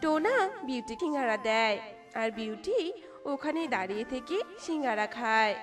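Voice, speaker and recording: A woman's voice: fairly high pitch, animated, moderate pace, faint music behind. A woman narrating a cartoon story in a scripted storytelling style.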